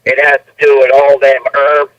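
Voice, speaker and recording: A man's voice: mid-range voice, monotone, normal speed, fairly bright tone. A man from Texas calling in over the phone, joking and playful in a drawling way. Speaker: caller. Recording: phone line.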